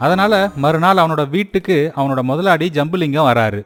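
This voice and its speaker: A man's voice: a little high, lively intonation, normal speed, over music. A man reading scripted narration for a children's moral story.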